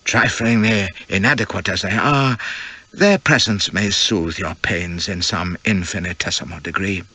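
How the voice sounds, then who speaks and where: A man voicing a courteous, very tall Chinese gentleman in an audiobook, speaking with formal, measured, self-deprecating politeness. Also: sits low, slightly rough, heavily acted. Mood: humble, obliging. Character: suave, courteous, very tall Chinese male host, effusively humble. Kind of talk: audiobook character dialogue.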